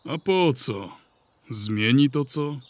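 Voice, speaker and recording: A man playing a calm, wry wizard in a fantasy audio drama, with a dry manner. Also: mid-range voice. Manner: unhurried, dry. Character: calm wry male wizard, quietly amused.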